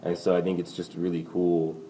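Deep-voiced young man, a bit off-mic. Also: monotone, brisk, warm tone, far from the mic, slight background noise, low-quality recording. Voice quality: deep baritone voice. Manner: rambling, many fillers and "you know", laughs easily. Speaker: lounge owner, guest. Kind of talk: casual podcast interview.

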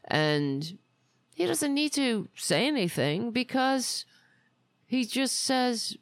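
A woman's voice: fairly high pitch, sing-song, takes it slowly, no room sound, studio quality. A woman hosting a political podcast in a rambling, indignant, sarcastic way, saying she is losing her voice. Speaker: podcast host. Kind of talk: casual political commentary.

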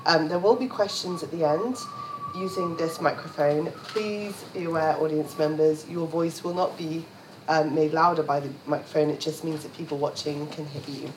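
Low-voiced host in an echoey room. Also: female voice, normal speed. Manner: polite announcements with a few fillers. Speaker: event host. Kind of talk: introducing a speaker. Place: lecture room. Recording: echoey room with faint noise.

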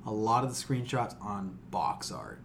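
Blunt, animated male podcast co-host. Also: fairly low pitch, a little slow, creaky, background noise. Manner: blunt, teasing, swears freely. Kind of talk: casual opinionated banter.

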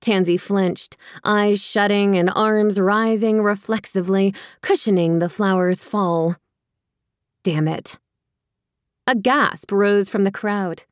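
A woman reading a romantic comedy audiobook with wry, expressive, dramatic phrasing. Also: mid-range voice, takes it slowly, smooth, dry recording, clean studio sound. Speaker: audiobook narrator. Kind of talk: scripted fiction narration, romantic comedy.

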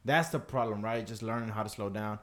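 Casual co-host, male, candid and profane, speeds up when excited. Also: fairly low pitch, fairly quick. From El Salvador. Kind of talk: casual chat.

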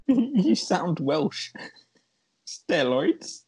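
A man's voice: high-pitched, very animated, drawn-out words, a little mellow, slight background noise. A man co-hosting a podcast in casual, comic banter. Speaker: podcast co-host.

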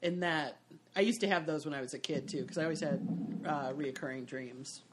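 Relaxed attentive chat host. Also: medium pitch, a little fast, noisy background. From Michigan. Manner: attentive listener, short affirmations, dry humor. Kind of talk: casual chat.

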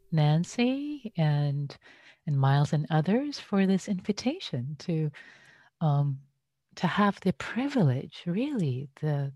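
Slow, hesitant low-voiced woman teacher. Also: mellow, no room sound, lo-fi sound. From Iran. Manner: slow, hesitant, many fillers and restarts. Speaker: Buddhist teacher. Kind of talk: dharma talk. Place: home, online session.

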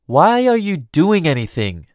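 Man voicing a languid, theatrical young noblewoman, lilting high. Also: very animated, measured pace, a little mellow, no room sound, clean studio sound. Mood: exasperated, languid. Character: languid, teasing, self-dramatizing young noblewoman cousin. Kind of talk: audiobook character dialogue.

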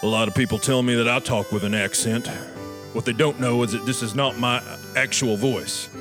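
A man playing a folksy, rambling old cowboy host with an American drawl and a deadpan manner. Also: mid-range voice, moderate pace, lightly acted. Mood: confiding. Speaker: host of a comedy sketch show. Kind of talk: folksy comic monologue linking sketches. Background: guitar and harmonica music.

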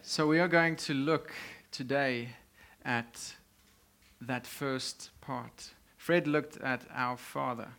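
Slow man preaching in short phrases. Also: medium pitch, steady tone, a bit bright. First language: Afrikaans. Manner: speaks in short phrases, pausing for translation. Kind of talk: sermon with live interpreter.

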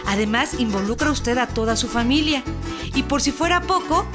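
A woman's voice: high-pitched, lively intonation, moderate pace, music in the background. A woman playing a courteous, formal helpline operator in a scripted informational radio spot. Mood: explanatory.

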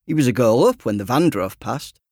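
A man narrates a first-person story in a chatty, self-deprecating way. His pitch moves about a great deal, and the sound is dry and close. He tells it expressively, as a teenage boy confiding in the listener. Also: expressive, brisk, darkish tone, no room sound. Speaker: author reading his own audiobook.

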